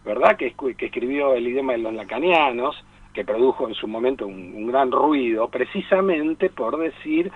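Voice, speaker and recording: Husky Argentine man on radio. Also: medium pitch, animated, no room sound, phone-quality sound. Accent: Argentine Spanish. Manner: digressive, halting, often seeking agreement with tag questions. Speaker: radio show team member and film columnist. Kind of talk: conversational film commentary on a radio show.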